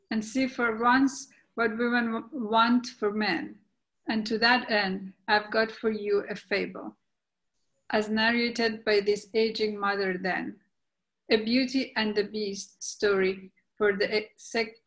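Woman playing jolly outspoken aging mother, verse play narrator, very slow, halting, stumbles over words. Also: medium pitch, a bit bright, low-quality recording. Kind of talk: scripted verse play reading. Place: indoors on a video call. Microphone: video call.

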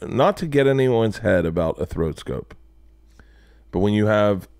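A man in his forties hosting a podcast, telling stories in an animated way and swearing freely, sitting in a studio lounge at a boom mic. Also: measured pace, no echo. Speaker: podcast host. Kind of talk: casual podcast storytelling. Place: studio lounge with leather couches. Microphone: boom mic on arm.

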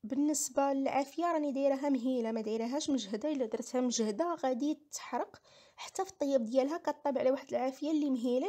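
A woman's voice: high-pitched, mellow. A woman with a Moroccan accent giving a casual recipe voice-over, explaining each step and repeating herself. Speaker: home cooking vlogger.

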